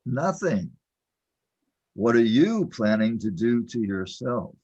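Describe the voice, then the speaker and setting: Man playing a slow, husky, patient guide. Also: medium pitch, sing-song, darkish tone, muffled recording. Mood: calm, probing. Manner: measured, reassuring. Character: calm patient male guide to a confused newcomer. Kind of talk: audio drama dialogue.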